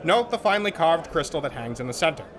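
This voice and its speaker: Man as high-voiced, formal auction presenter. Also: sing-song, fast, fairly bright tone. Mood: courteous. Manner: polite, formal announcing. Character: courteous, formal male museum auction presenter. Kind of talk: radio drama acting. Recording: busy crowd behind.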